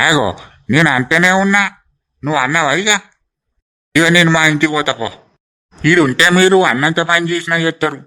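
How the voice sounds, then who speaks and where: A man's voice: a little high, brisk, long pauses, sharp tone. A man with a Telangana accent acting a teasing, sociable brother-in-law in a rural family drama, bantering and complaining in mock grievance. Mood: mock-aggrieved.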